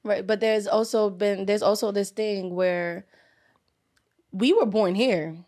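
A woman's voice: medium pitch, fairly even intonation, slow, darkish tone. A woman hosting a podcast in casual chat, blunt and outspoken. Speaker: podcast host.

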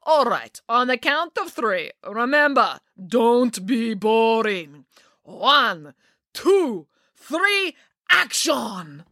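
A man's voice: extremely high pitch, big pitch swings, extremely slow, sharp tone, dry recording, studio quality, pitched above the performer's own voice, full character voice. A woman voicing a flamboyant, dramatic grown man who commands a crowd of schoolchildren. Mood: rousing. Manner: grand, theatrical, commanding.

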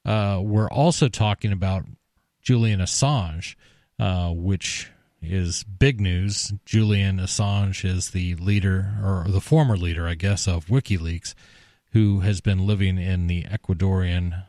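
Man, talk radio host, steady, low voice, dry close mic. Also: unhurried, dry recording. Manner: steady, recapping and taking calls. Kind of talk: casual talk radio chat. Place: radio studio. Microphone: studio mic, close.

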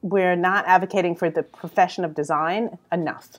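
A woman's voice: on the low side, steady tone, moderate pace. A woman with a New York accent, a creative business consultant speaking as a podcast guest in a direct, emphatic way. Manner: straight-talking, emphatic. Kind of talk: interview conversation.

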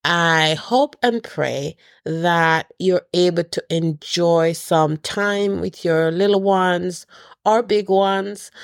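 A woman's voice: fairly low pitch, no echo, studio quality. A middle-aged woman hosting a podcast, sounding nasal as she gets over a cold, talking earnestly to her listeners with a few restarts. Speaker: podcast host. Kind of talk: casual holiday message to listeners.

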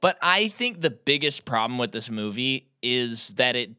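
A man's voice: mid-range voice, expressive, pushed and bright, clear, resonant tone, no echo, studio quality. A man co-hosting a podcast, giving opinionated, joking movie takes in casual chat. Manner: opinionated, quick to joke about his own hot takes. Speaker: podcast co-host.

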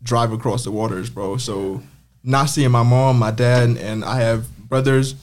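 A man's voice: medium pitch, steady tone, a little slow, darkish tone. A young man from the Caribbean, a guest who is a campus barber, chatting casually and laughing often on a podcast. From Caribbean, Saint Croix. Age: young adult.

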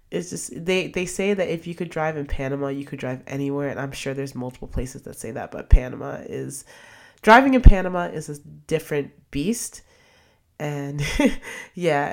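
A woman's voice: sits low, a little mellow. A young adult woman hosting a casual solo podcast, sniffly from a cold, rambling with lots of fillers and restarts. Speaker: podcast host.

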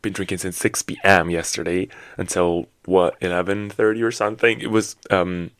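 A man in his twenties hosting a solo podcast in casual, rambling chat, his voice raspy and low after a night of drinking. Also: level tone, normal speed, fairly bright tone, dry recording. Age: 20s. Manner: rambling, many fillers and restarts. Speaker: podcast host.

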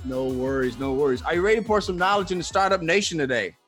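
A man hosting a podcast with an upbeat, energetic delivery. His voice sits a little higher than most men's. Faint music plays underneath. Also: quick pace. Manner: upbeat, repeats phrases like "for sure" and "got you". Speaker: podcast host.

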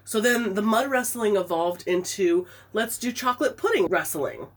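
Brief: woman co-host with a head cold, husky, animated storyteller. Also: medium pitch, normal speed. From Pacific Northwest. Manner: animated storytelling, laughing often. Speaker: podcast co-host. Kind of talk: casual chat.

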